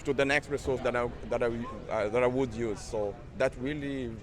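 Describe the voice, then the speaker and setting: A man's voice: medium pitch, steady tone, a little fast. A man at a conference talking casually about the tools he uses, with many fillers and restarts. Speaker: conference attendee. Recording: busy crowd noise behind. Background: crowd chatter.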